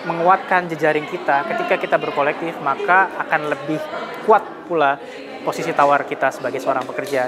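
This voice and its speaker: Fast-talking earnest man, crowd behind. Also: on the high side. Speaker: union chair speaking at panel. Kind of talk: interview statement.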